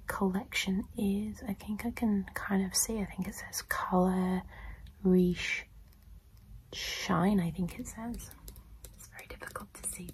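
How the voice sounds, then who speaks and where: A young woman speaks in a hushed whisper, close to the mic. Her delivery is gentle and casual, like an ASMR host chatting through a show-and-tell, with a mid-range pitch. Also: rough recording.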